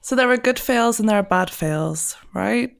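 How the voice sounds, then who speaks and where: A woman's voice: medium pitch, animated, normal speed, creaky. A woman co-hosting a marketing podcast, chatting casually in a playful, teasing way. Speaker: podcast co-host. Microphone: podcast microphone over video call.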